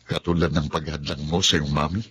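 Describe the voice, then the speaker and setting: A man voicing a generous, loyal best friend in a radio drama, speaking calmly and in a measured way. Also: a bass voice, husky, full character voice.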